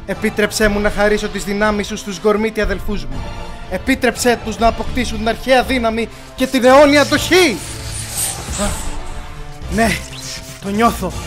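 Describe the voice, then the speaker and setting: A man's voice: high voice, music in the background, lightly acted. A man voicing a determined young cartoon hero, the lord of light, speaking in an earnest, declamatory way.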